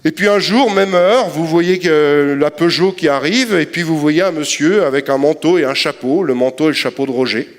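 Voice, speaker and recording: A man's voice: on the high side, moderate pace, few pauses. A man giving a science lecture to an audience, explaining step by step with everyday comparisons and frequent verbal fillers. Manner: explanatory, uses everyday metaphors, frequent "hein" and "euh". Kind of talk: public science lecture with slides. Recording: long hall reverb.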